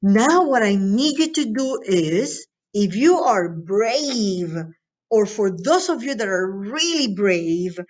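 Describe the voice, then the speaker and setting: Female teacher for a recorded school lesson, unhurried delivery, medium pitch, encouraging and instructional, checks in with listeners. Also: expressive, darkish tone. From Latin America. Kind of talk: recorded lesson addressed to students.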